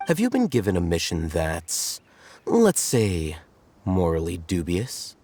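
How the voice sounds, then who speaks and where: Wry, low-voiced male scholar. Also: wildly swooping pitch, dark tone, a little hiss or hum, heavily acted. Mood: cool, probing. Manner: measured, wry, articulate. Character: composed, sardonic male scholar, coolly analytical. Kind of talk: video game voice acting. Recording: studio voice over background music.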